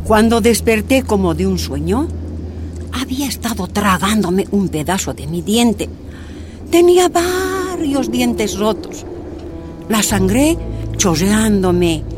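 A grave, measured miner's wife. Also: female voice, medium pitch, big pitch swings. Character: miner's wife recounting her torture, grave woman. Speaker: narrator. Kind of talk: radio drama narration. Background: soft music.

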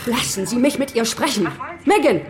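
A woman playing a forceful politician and desperate mother in a radio drama, speaking with urgent authority. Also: a little high, expressive, moderate pace, crowd noise, close to an everyday voice. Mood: commanding, angry. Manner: commanding, urgent. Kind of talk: German radio drama acting. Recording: music behind the voice.

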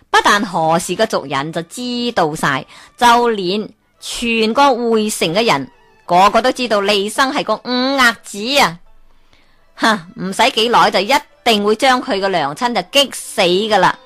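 A woman storyteller with a Hong Kong Cantonese accent spins an old folk tale at a middle pitch. She talks in a lively way and keeps turning to the listener with questions. Soft music plays quietly behind her. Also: a little slow.

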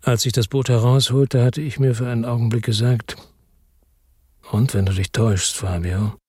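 An actor playing an embittered, defiant noir hero in a German radio drama, speaking in a terse, grim, weary way. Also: male voice, mid-range voice, fairly even intonation, normal speed, dark tone, breathy edge, dry recording, studio quality, lightly acted. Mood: brooding narration. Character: embittered, defiant noir hero telling his own story. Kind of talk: German radio drama acting and first-person narration.

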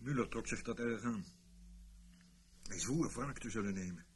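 A man's voice: fairly low pitch, moderate pace, natural voice. A man playing an evasive, self-justifying British ex-army officer in a Dutch radio play, sometimes boastful, sometimes hesitant and defensive. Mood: defensive, uneasy. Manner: boastful storytelling, hesitant and defensive when challenged. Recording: noisy old radio play recording.

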